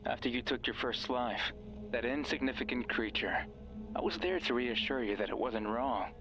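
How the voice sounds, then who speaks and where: Horror game casting: male actor as obsessive, manipulative radio voice, intimate and insistent, mid-range pitch, prominent music underneath. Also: moderate pace, a bit bright, lightly acted, low-quality recording. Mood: sinister, reassuring. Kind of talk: dramatic horror game voice acting.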